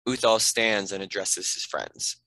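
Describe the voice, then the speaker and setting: A man's voice: lowish voice, lively intonation, a little slow, pushed and bright, no echo, poor audio. A man narrating the action and stage directions of a script.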